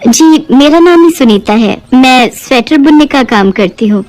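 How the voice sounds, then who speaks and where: Lady doing a humble polite village sweater maker for a cartoon story, highish voice. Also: lively intonation, normal speed, a little mellow. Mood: polite, eager. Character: humble, earnest village woman who knits sweaters. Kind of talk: Hindi moral-story cartoon voicing.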